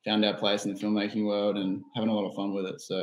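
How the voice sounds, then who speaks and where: A man in his thirties with an Australian accent, a filmmaker talking about his work in a relaxed, laid-back way. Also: fairly low pitch, fast. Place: studio office. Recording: roomy echo on call.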